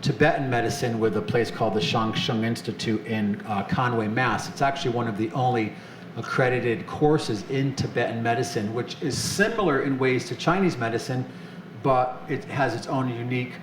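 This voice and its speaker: A male host chatting in a relaxed, rambling way about holistic topics, easily getting excited. His voice sits at an ordinary pitch and carries a roomy echo with a faint hiss of ambience behind it. The recording has the feel of a small studio. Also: moderate pace. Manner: enthusiastic, upbeat, rambling with fillers. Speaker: show host. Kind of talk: casual interview chat.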